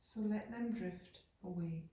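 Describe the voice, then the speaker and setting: A woman guiding a yoga nidra meditation in a calm, unhurried, soothing way, leaving deliberate pauses between phrases. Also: fairly low pitch. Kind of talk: slow guided meditation narration. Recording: echoey room, distant from microphone.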